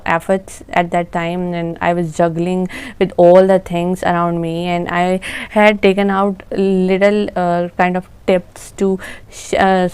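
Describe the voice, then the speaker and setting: A young woman with an Urdu accent, a native Urdu speaker, giving a casual spoken lesson in a halting way, often searching for words. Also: fairly low pitch, darkish tone, clean tone. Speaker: English-speaking tips presenter.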